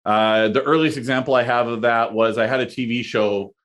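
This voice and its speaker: A man in his thirties talks through ideas in casual conversation. His voice sits at an ordinary pitch. He hesitates often, restarting phrases and dropping in fillers as he thinks aloud. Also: steady tone, fairly bright tone. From Canada. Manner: halting, many fillers and restarts. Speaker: podcast guest, entrepreneur.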